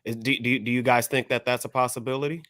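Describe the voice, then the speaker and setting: A man's voice: mid-range voice, fairly even intonation, quick pace, a bit bright. A man on a podcast panel in casual discussion, insistently spelling out his points.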